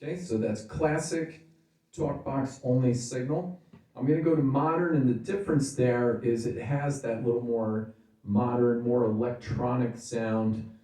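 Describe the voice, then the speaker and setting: A man's voice: medium pitch, steady tone, a little slow, dark tone. A man giving a casual, informal gear demo, explaining things step by step as a reviewer. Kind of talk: casual product demo talk. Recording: roomy, reverberant sound.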